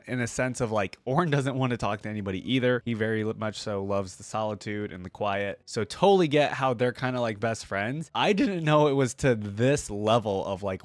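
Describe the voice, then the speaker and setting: A man's voice: mid-range voice, dry recording. A man giving casual, conversational reaction commentary as a video host.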